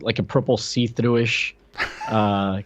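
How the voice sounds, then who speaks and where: A man's voice: on the low side, steady tone, slow. A man co-hosting a podcast, giving casual conversational commentary. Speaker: podcast co-host. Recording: dry but dull, lo-fi microphone sound.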